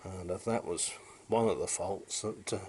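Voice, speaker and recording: British man, lowish voice, casual repair demonstration, wry and rambling, faint noise on amateur camera sound. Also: moderate pace, darkish tone. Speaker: hobbyist repairer and presenter.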